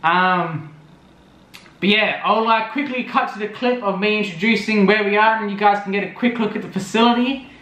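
Young guy, vlog voice-over, high and bright, rambling, roomy echo. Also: normal speed. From Australia. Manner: rambling, lots of fillers. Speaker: vlogger. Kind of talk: casual voice-over recap.

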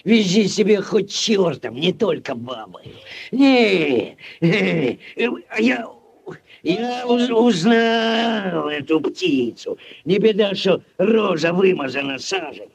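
A man's voice: high voice, expressive, takes it slowly, gravelly, breathy edge, exaggerated voice. An actor playing a bossy, blustering village headman full of exclamations, in 1940s radio style. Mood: excited, bossy. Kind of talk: 1940s Soviet radio acting.

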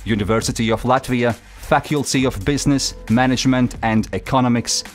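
A man narrating a promotional voice-over for a university faculty, formal and measured. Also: medium pitch, fairly even intonation, soft background music.

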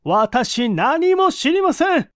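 A man voicing a sneering foreign thief who feigns innocence in halting, broken phrasing, in an audiobook story. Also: very high-pitched, very animated, no room sound, clean studio sound, pitched above the performer's own voice, exaggerated voice. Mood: cool, evasive. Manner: halting, broken non-native Japanese phrasing.

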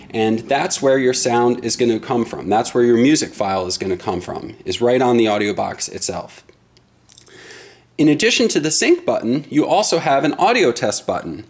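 A middle-aged man giving an instructional product demonstration in a deliberate, explanatory way. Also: medium pitch. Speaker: product presenter.

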